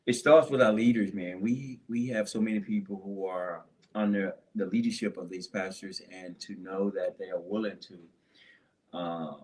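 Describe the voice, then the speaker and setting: Low-pitched, affirming male interviewer. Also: steady tone, moderate pace, a little mellow, rough recording. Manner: affirming, earnest, colloquial. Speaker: interviewer, health advocate. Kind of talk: conversational interview.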